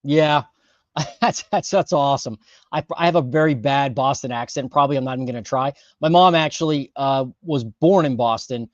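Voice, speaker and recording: A man hosting a casual livestream, talking through housing data with lots of fillers and quick self-corrections. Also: medium pitch, no echo, muffled recording. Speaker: host of a housing market livestream.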